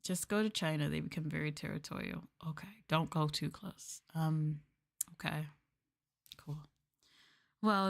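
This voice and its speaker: A soft-spoken woman chatting casually and playfully with viewers as the host of an ASMR livestream. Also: lowish voice, gravelly. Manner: soft-spoken, playful, drifting into close-mic whispers. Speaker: VTuber streamer and host.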